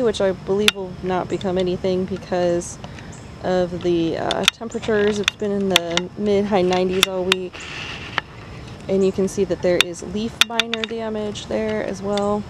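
A woman's voice: mid-range voice, very noisy. Chatty woman touring her garden outdoors. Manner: chatty, pointing things out plant by plant. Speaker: gardening vlogger. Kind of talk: casual garden tour narration. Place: outdoor backyard vegetable garden. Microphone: handheld phone camera, filming while walking.

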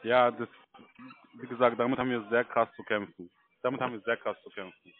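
A man from Germany talking casually on the phone in a halting, repetitive way, often checking he is followed. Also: medium pitch, little pitch movement. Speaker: call center recruiter. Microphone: telephone. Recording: tinny phone line.

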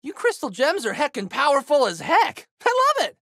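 Exuberant high-pitched teen boy hero. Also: wildly swooping pitch, moderate pace, creaky, sharp tone, no room sound, studio quality, full character voice. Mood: admiring. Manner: exuberant, slangy, emphatic delivery. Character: spirited, goofy teenage boy hero, brash and big-hearted. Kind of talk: video game character battle lines.

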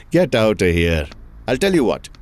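An actor playing an easygoing, slightly formal man in conversational drama dialogue, with measured delivery. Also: on the low side, huge pitch swings. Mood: casual.

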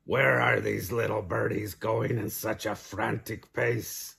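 Man playing a sly, rumbling storybook fox. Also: flat delivery, slow pace, vocal fry, bright, forceful tone, breathy edge, heavily acted. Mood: sly, coaxing.

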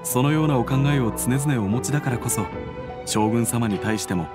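A man playing an earnest detective agency employee in an anime game, speaking in a formal, deferential, measured way. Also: mid-range voice, natural voice. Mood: deferential, helpful. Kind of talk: Japanese anime game dialogue. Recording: faint music under the voice.

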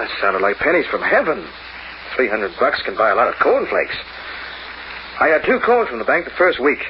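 An actor playing a slangy, hard-boiled locksmith in an old-time radio crime drama. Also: male voice, mid-range voice, close to an everyday voice. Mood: confiding. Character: edgy, wisecracking working-class male locksmith. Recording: muffled, noisy old radio recording.

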